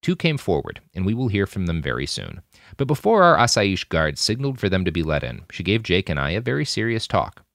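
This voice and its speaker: A man narrating a documentary podcast in a measured, storytelling manner. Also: low-pitched, a bit bright, dry recording, clean studio sound.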